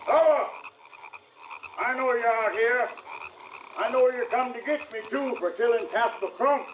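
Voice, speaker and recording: Murderous backwoods drunkard, very high strained pitch, drawl, hollered threats, tinny noisy vintage radio. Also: male voice, a little slow. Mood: menacing, taunting. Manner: menacing, hollering threats in folksy backwoods speech. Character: hulking drink-crazed swamp man with a shotgun, murderous. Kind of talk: 1950s radio drama acting.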